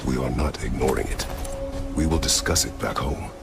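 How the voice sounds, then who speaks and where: An actor playing a stern, laconic warrior father in a video game, gruff and formal in terse phrases. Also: rumbling low, normal speed. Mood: stern, measured. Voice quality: gravelly. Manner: terse, formal, without contractions. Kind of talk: video game cutscene acting. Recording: music behind the voice.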